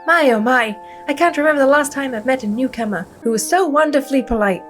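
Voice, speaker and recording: A woman's voice: high voice, fast, soft background music, pitched above the performer's own voice, lightly acted. A woman voicing a haughty, genteel talking dog in a visual novel game, with florid old-fashioned phrasing. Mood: gushing.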